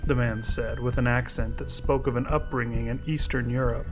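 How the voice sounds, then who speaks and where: A man's voice: mid-range voice, steady tone, normal speed. Suspenseful male story narrator over music.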